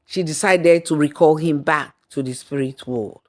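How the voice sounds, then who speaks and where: A woman narrating a folktale in a deliberate, dramatic storyteller's manner. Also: low-pitched, darkish tone. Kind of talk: scripted folktale narration with acted dialogue and song.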